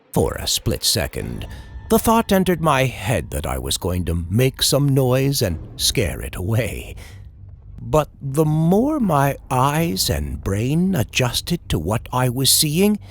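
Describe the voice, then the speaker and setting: Man, scary story narrator, suspenseful, unhurried, animated pitch, faint music underneath. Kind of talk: scripted first-person scary story narration.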